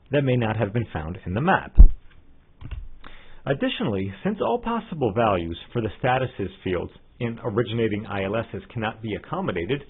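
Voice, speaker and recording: A man narrating a scripted software tutorial as an instructor, in a methodical, step-by-step way. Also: medium pitch, slightly rough. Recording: muffled, phone-like narrow-band audio.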